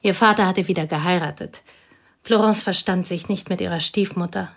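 An actress playing a provincial woman recalling an old school friend, speaking quietly and wistfully in a radio drama. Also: fairly low pitch, moderate pace. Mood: bitter. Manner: quiet, wistful, partly whispered.

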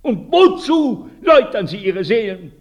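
An actor playing a guilt-ridden village priest in a radio drama, confessing slowly and accusing himself. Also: male voice, sits high, sing-song, exaggerated voice. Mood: anguished questioning. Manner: slow, confessional, rhetorical questioning.

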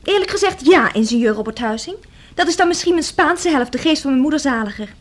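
High-voiced flippant young heiress. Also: female voice, animated, quick pace. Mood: flippant, mocking. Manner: flippant, theatrical, talkative. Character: Jantien, flippant, witty young half-Spanish heiress. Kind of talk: radio play acting.

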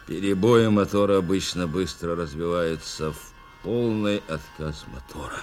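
An actor playing an anxious, dutiful bomber navigator in a radio play, with earnest, intense delivery. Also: male voice, low-pitched, moderate pace, darkish tone, soft background music, natural voice. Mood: grave, subdued. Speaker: actor in an audio drama. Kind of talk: Soviet radio play acting, dramatic narration and dialogue.

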